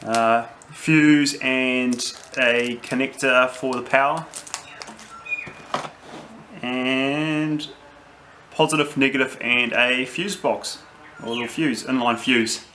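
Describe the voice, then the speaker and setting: A man's voice: medium pitch, fairly even intonation. Australian man, roomy distant recording. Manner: unscripted, halting, thinking aloud. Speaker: vlogger reviewing gear. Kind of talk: casual unboxing and review talk. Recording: roomy, distant mic, noisy background.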